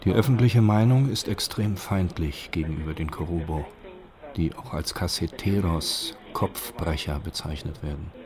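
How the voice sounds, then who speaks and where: A man's voice: low voice, normal speed, a crowd in the background. A man giving a formal scripted reading of documentary reports. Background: clear music.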